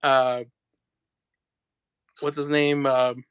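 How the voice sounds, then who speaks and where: A man's voice: mid-range voice, little pitch movement, drawn-out words, clear, resonant tone, dry recording, muffled recording. A man in his forties, a guest in a casual chat, easygoing and laughing often as he trails off. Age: 40s.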